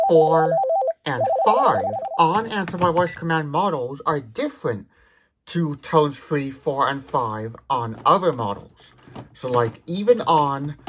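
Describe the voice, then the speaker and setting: A man's voice: a little high, a little hiss or hum, rough recording. A man hosting a tech demonstration, explaining details methodically. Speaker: tech demonstrator, video host.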